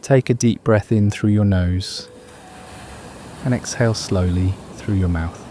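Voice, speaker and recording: A man narrating a guided meditation in a soothing, unhurried, measured manner. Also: lowish voice, warm tone, a little hiss or hum.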